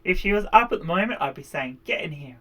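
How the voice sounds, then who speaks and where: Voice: mid-range voice, expressive, quick pace, a bit bright. Chatty livestreamer. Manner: chatty, laughs often. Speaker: livestream host. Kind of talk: casual chat on a livestream.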